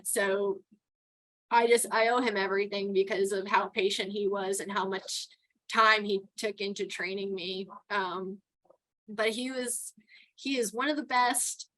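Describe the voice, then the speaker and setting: A woman's voice: fairly high pitch, a bit bright, poor audio. A middle-aged woman from New York with a Texan accent, chatting casually as an interview guest and laughing often. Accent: Texan with some New York. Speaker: guest, towboat port captain.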